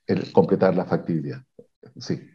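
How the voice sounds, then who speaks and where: Deep, warm-toned male executive, measured and technical, hesitant restarts, muffled lo-fi call audio. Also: expressive. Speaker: mining company exploration executive. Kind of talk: interview answers on a video call.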